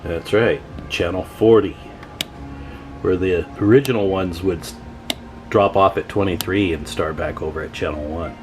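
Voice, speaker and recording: A man with a low voice talks informally through a hands-on repair job at his bench. He addresses viewers in a folksy, casual way as he tinkers, with music heard in the recording. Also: moderate pace, a little mellow. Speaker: host of a radio repair channel. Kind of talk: casual hands-on workbench commentary. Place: at a workbench, indoors.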